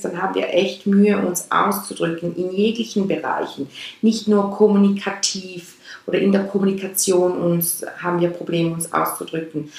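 A woman's voice: on the low side, vocal fry. A woman from Switzerland whose first language is Swiss German, speaking Standard German with a Swiss accent. She explains chakras in casual talk and often wanders off the topic. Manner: rambling, with restarts and digressions. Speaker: chakra teacher and podcast host. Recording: very echoey room.

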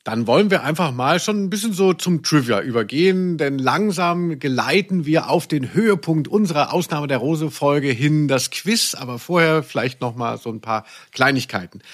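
A man co-hosting a podcast in casual conversation, with a playful, ironic, teasing manner. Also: on the high side. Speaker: podcast co-host. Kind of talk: casual chat about audio dramas.

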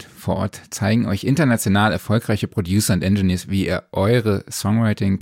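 Low, creaky-voiced man hosting. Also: a little mellow, no echo, studio quality. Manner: informal, colloquial. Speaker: podcast host. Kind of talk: casual podcast interview.

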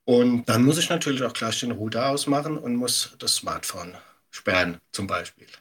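A middle-aged man speaks as an expert guest in an interview. His voice is bright and forceful with a raspy edge, at an average pitch. He explains conversationally and emphatically, using everyday examples. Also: moderate pace. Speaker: media education expert guest.

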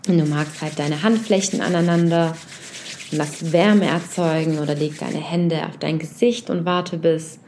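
A woman leading a guided reflection meditation, speaking softly and unhurriedly as she puts a series of gentle questions directly to the listener. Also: low-pitched, fairly even intonation, moderate pace, slight background noise.

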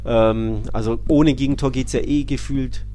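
A man's voice: mid-range voice, fairly even intonation, moderate pace, warm tone, soft background music. A man co-hosting a football podcast, giving casual analysis in a colloquial way and laying out his points one by one. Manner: argumentative, lists points, colloquial. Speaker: football podcast co-host and pundit.

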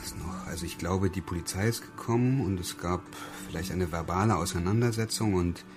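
Very low, tentative man. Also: a little fast, music in the background. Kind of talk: halting recollection in a radio feature.

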